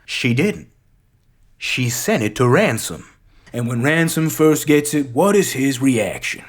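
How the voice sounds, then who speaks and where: A performer reciting as a theatrical, shrewd Southern gentleman detective with a drawling American Southern accent. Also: mid-range voice, expressive, a little slow. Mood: dramatic, explanatory. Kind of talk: dramatic recitation of a film monologue.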